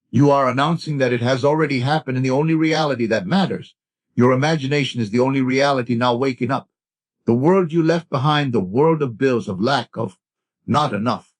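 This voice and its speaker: High-pitched man guiding a sleep meditation. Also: dry recording. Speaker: meditation guide. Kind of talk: guided sleep meditation narration, scripted.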